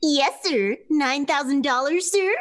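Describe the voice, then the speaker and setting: A woman's voice: high voice, unhurried. A woman playing a courteous, matter-of-fact bank teller in a radio play. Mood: polite, firm. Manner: courteous, businesslike.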